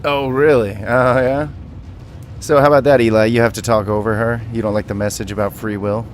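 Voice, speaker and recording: A man's voice: mid-range voice, steady tone, brisk, slight background noise. A man giving casual, playful gameplay commentary as a video host, bantering as he goes.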